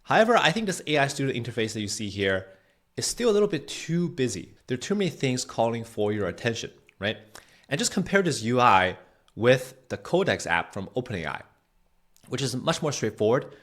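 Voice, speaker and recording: A man hosting a software tutorial, explaining things in a conversational way as he walks through a screen demo. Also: mid-range voice, very animated, a little fast. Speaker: host, product manager giving a tutorial. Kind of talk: tutorial narration with screen walkthrough.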